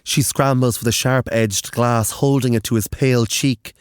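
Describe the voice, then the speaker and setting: A man narrating a scripted horror story in a grave, deliberate manner with measured dramatic phrases. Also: mid-range voice, fairly even intonation, smooth, no echo, clean studio sound.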